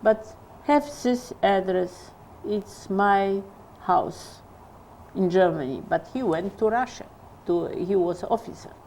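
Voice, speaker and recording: Older woman recalling slowly. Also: mid-range voice, a little mellow, slight background noise, poor audio. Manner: halting, searching for English words. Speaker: interviewee. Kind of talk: oral history testimony.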